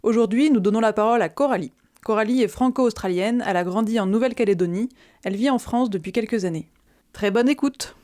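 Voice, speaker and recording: A woman reads a scripted podcast introduction as its presenter. Her voice sits a little higher than average, and she addresses listeners in a prepared, orderly way. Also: fast talker, mellow.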